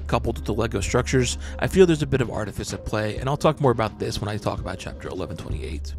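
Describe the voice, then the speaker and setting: A man reads a scripted breakdown in an even, analytical tone, as if walking the listener through evidence point by point. His voice sits at an ordinary middle pitch for a man. A clear music bed runs underneath the whole time. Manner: measured, analytical explaining. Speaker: manga analysis channel host. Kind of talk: scripted narration. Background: background music.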